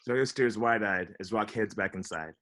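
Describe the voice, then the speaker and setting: A man's voice: lowish voice, normal speed, a bit bright. A man giving scripted narration, reading out stage directions for a table read.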